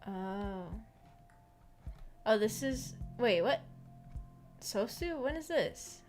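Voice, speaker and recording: A woman with a fairly high voice hosts a casual live stream. She rambles and thinks aloud with a giggly manner as she talks to viewers. Soft music plays behind her. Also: expressive, extremely slow. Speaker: streamer hosting a visual novel book club. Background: background music.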